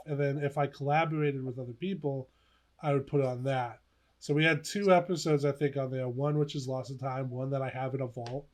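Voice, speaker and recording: Higher-voiced young man, animated podcaster. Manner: animated, giggly storytelling. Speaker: podcast co-host. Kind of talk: casual podcast chat, reminiscing.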